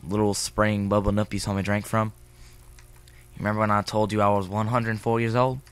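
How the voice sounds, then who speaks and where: A man's voice: lowish voice, little pitch movement, fairly quick, clear, resonant tone, natural voice. An amateur actor playing an earnest, hesitant seventeen-year-old boy in an audio drama. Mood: earnest confession. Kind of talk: fan audio drama acting.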